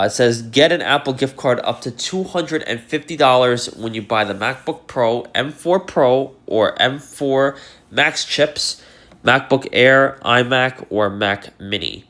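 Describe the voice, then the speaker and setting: A man's voice: medium pitch, a bit bright. A man with a cold and a congested voice, chatting casually to camera about tech deals, rambling with plenty of fillers. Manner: rambling, lots of fillers like "uh" and "you know". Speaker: tech YouTuber.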